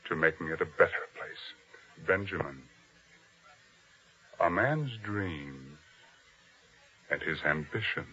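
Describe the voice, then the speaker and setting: Solemn ancient officer in old 50s radio drama, really slow n grave, muffled old recording. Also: male voice, sits low, fairly bright tone, breathy edge, heavily acted. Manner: solemn, deliberate delivery. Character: repentant Roman-era officer of King Herod, grave and resolute. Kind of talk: 1950s radio drama acting.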